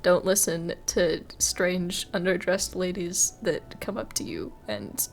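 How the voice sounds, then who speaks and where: A woman's voice: lowish voice, sing-song, slow pace. A woman giving casual, wry commentary as she plays through a video game, reacting jokingly to the story. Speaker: gaming video host. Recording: faint game music behind.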